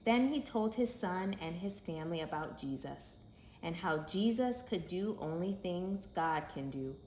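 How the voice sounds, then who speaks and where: Female storyteller for kids' read-aloud: expressive, emphatic, unhurried. Echoey room, slight background noise. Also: on the low side.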